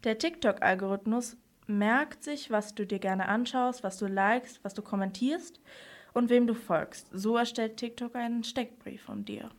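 A woman's voice: a little high, moderate pace. A woman presenting on a children's radio programme, explaining things in scripted dialogue.

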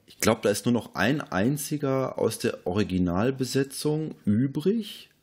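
A man's voice: mid-range voice, very animated, a little slow, a little mellow, slightly rough. A man co-hosting a podcast, talking casually about films. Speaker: podcast co-host. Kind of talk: casual film discussion.

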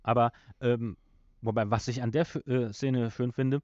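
Male podcast host, casual discussion, halting delivery, dry and slightly muffled recording. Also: medium pitch, steady tone, darkish tone, dry recording. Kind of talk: casual discussion of an audio drama episode. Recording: slightly dull, lo-fi sound.

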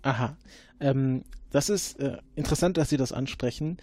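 A man playing a formal agent interrogating a witness in an improvised audio drama, halting and full of fillers. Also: mid-range voice, dark tone, no room sound, close to an everyday voice. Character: formal male time-travel agent questioning a witness.

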